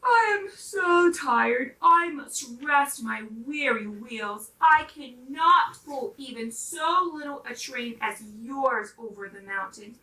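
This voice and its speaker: A woman voicing a tired, rusty old train engine from a storybook, with slow, sighing, drawn-out complaints. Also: sits high, animated, sharp tone, roomy sound, recorded from a distance, heavily acted, poor audio. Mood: weary.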